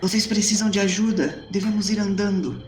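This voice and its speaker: A man's voice: sits high, brisk, pitched above the performer's own voice, close to an everyday voice. A man voicing a small, earnest, resolute spirit-sensing creature who speaks in a solemn, formal, urging way. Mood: urgent, earnest. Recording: roomy echoing voice over music.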